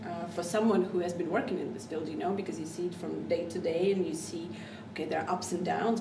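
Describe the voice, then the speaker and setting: A Belarusian woman in her thirties, a guest and association co-founder, speaking earnestly and in a measured way with frequent ums. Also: on the low side. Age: 30s. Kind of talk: earnest personal account. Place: café. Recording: far-off mic in an echoey café with noise.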